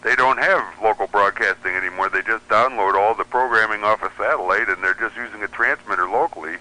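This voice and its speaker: Male, American, radio interview guest. Lowish voice with a very sharp, bright edge, explanatory and opinionated. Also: fast, rough recording. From United States. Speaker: radio show guest, pirate radio enthusiast. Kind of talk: conversational radio interview commentary.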